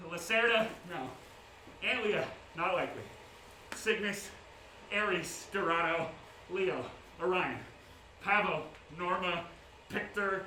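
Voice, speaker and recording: A man's voice: sits high, sharp tone, exaggerated voice. An actor playing an aggrieved, desperate man in a dramatic monologue, theatrical, emphatic and bitter in delivery. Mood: searching, frustrated. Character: aggrieved, nameless man desperate to regain his name. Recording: echoey room, distant mic, clear noise.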